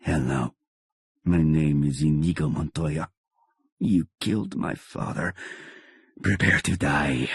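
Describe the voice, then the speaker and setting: A husky-voiced man voicing a wounded, vengeful swordsman who speaks with hushed, grim intensity. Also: rumbling low, takes it slowly, pauses often, no echo, pitched below the performer's own voice, heavily acted. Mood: hushed menace.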